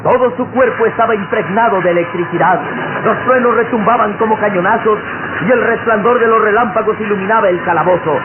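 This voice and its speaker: A man's voice: high voice, fairly quick, over music. A man narrating an old radio adventure serial in a dramatic, declamatory manner. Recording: narrow, noisy vintage radio recording.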